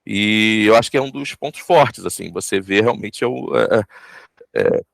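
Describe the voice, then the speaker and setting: A Brazilian man gives a casual interview in a slightly low voice. He speaks haltingly, repeating and rephrasing as he goes. The recording is very dry, with almost no room sound. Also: vocal fry, dry recording. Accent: Brazilian Portuguese. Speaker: audio drama team member.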